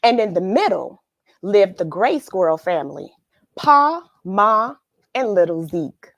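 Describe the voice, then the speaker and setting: A woman's voice: mid-range voice, sing-song, a little slow, no echo, studio quality. Effusive woman hosting a children's read-along. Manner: effusive, sing-song greetings, repeats words. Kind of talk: casual livestream greetings and picture-book read-aloud.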